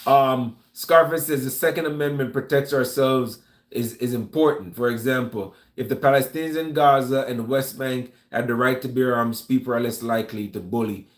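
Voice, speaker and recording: A man from Jamaica with a Jamaican accent, hosting a casual live stream and answering chat in a relaxed way, with many restarts. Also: medium pitch, moderate pace, echoey room. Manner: relaxed, stumbling restarts, calls people man. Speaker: vlog host reading live chat. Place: room at home with gun rack.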